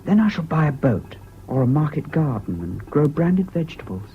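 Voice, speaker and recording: A dull, slightly hissy old radio play recording. a young English university student, whimsical and flippant, with a fairly high voice that swoops about freely. He is an impulsive, evasive charmer who chats lightly. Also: very animated, normal speed. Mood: eager, whimsical. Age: young adult. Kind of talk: 1970s British radio drama acting.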